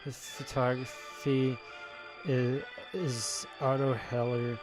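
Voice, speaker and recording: Hesitant man with a flat voice. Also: mid-range voice, music in the background. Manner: halting, hesitant, many fillers. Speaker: video commentator. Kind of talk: rambling monologue.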